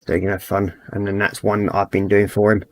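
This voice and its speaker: A middle-aged man with a croaky throat, chatting easygoing and rambling as he hosts a livestream, reading out messages from chat. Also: lowish voice, monotone, quick pace. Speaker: livestream host. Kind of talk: casual livestream chat while painting models.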